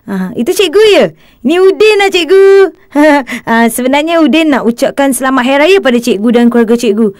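A woman's voice: sits high, lively intonation, fairly quick, no echo, studio quality. A woman voicing a chubby, cheeky, eager schoolboy in a cartoon, chatty and exclamatory. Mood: cheerful. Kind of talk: cartoon voice acting.

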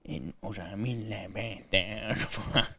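A man's voice: fairly low pitch, steady tone, sharp tone, husky, dry recording, exaggerated voice. A man voicing an indecisive appraiser in a comic amateur dub, hesitant and rambling. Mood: unsure. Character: dithering male appraiser unable to settle a value.

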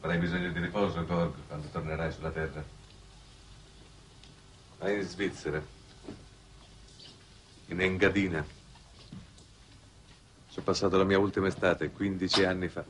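An actor playing a weary, resigned exile from Earth in a 1960s television drama, speaking in a measured, grave way. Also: male voice, low voice, little pitch movement, close to an everyday voice. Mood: weary, wistful. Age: middle-aged. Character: weary, resigned, fatalistic man exiled on another planet. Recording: noisy old 1960s TV broadcast soundtrack.

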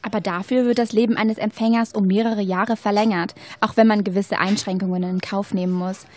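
Woman acting Curious young female student, educational radio play; medium pitch, neat conversational read, open-minded. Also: fairly even intonation, brisk, darkish tone, close to an everyday voice. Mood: reasonable, assured. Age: young adult.

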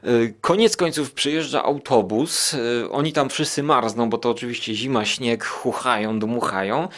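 A man's voice: mid-range voice, moderate pace, fairly bright tone. A man hosting a podcast, reviewing things in a casual, hesitant and digressive way. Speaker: podcast host.

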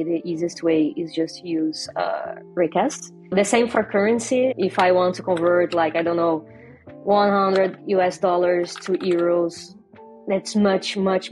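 A woman's voice: on the low side, a little mellow. A young woman with a Brazilian accent and native Portuguese, explaining things casually as an interview guest on a video call. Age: young adult. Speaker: interview guest, software designer. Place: small home bedroom, indoors.

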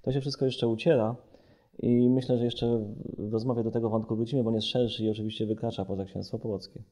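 A man's voice: mid-range voice, flat delivery, quick pace, warm tone, a little hiss or hum. A man speaking as an analyst in an interview, explaining with many qualifications and self-corrections. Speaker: policy analyst and report author.

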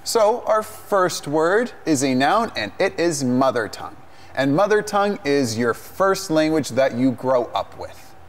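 A man's voice: a little high, very animated, measured pace. A young man, a native English speaker, co-hosting a televised English lesson from a studio set in a friendly, upbeat way. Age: young adult. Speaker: English teacher co-host.

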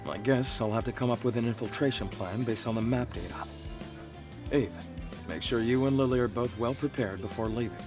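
A man playing a calm, practical scout in a video game, briefing the team in a businesslike way. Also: mid-range voice, fairly quick, over music, lightly acted. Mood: focused, calm. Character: calm, practical male scout and mission guide. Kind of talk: cinematic video game dialogue.

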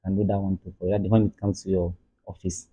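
A man in his thirties with a Ghanaian accent, answering interview questions casually and haltingly, repeating words. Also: sits low, little pitch movement, mellow, breathy edge. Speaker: carpenter, interview guest. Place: furnished room, seated in armchairs. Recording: thin, muffled phone-like sound.

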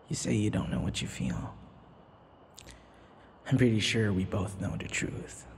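Man playing a slow, creaky-voiced obsessive vampire lover. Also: on the low side, a little mellow, a little hiss or hum, heavily acted. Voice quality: breathy, often whispery, creaky. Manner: halting, pleading, possessive, full of sighs. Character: obsessive, lovesick young male vampire lover. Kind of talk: intimate ASMR roleplay acting.

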